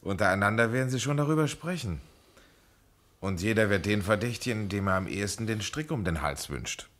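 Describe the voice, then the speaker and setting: An actor playing an authoritative, knowing village doctor in a German radio crime drama. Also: male voice, lowish voice, expressive, fairly quick, a bit bright. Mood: dry, knowing.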